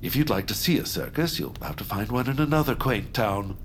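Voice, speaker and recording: An actor playing a gloomy, lovesick baron in an audio drama, speaking in a formal, pompous, melodramatic way. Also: male voice, mid-range voice, expressive, fairly quick, fairly bright tone, slight background noise, close to an everyday voice. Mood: mournful, grandiose. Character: gloomy, lovesick, theatrical baron who scorns good times.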